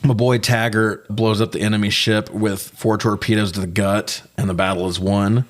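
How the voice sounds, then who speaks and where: Guy with a lowish voice doing a casual film recap podcast, swears a lot, jokes around. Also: normal speed. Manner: profane, jokey, animated when riled. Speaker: podcast co-host. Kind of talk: casual movie recap chat.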